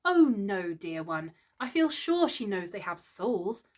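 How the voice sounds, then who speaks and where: Woman voicing a soft placid mum from a storybook, gentle. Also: mid-range voice, sing-song, slow pace. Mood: reassuring. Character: calm, gentle mother.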